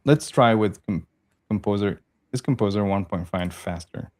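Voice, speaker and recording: Halting man demoing code. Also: lowish voice, darkish tone. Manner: halting, repeats words while working on screen. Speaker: guest developer. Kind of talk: casual tech chat while demoing code.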